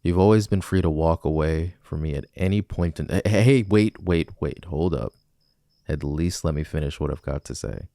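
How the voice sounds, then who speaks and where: Very deep, gravelly flirtatious villain played by a man. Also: normal speed, dark tone, no echo, studio quality. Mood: defensive, pleading. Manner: teasing, smooth-talking, intimate. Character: flirtatious rejected male villain wooing a civilian, smug, possessive. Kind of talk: ASMR romantic roleplay.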